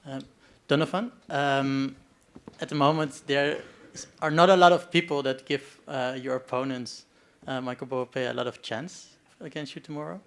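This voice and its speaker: A man putting questions to fighters at a press conference, pausing hesitantly as he goes. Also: medium pitch, steady tone, a bit bright.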